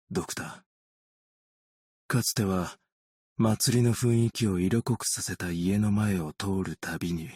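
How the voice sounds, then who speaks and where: A man voicing a weary, gentle, long-lived optician in a video game, measured, understated and quietly melancholic. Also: low-pitched, breathy edge, dry recording, studio quality. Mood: wistful, melancholic. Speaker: video game character voice actor. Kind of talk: scripted game character voice lines.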